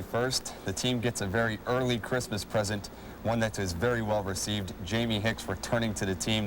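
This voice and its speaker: A man with a fairly low voice hosts a sports program in a polished broadcast manner. He presents and interviews like a play-by-play announcer, over noticeable ambient background noise. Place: arena civic center.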